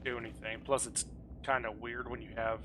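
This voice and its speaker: A man's voice: mid-range voice, flat delivery, brisk, fairly bright tone, slight background noise. A man chatting casually with friends while gaming online, joking and swearing freely in a salty way. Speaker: gamer playing online with guildmates.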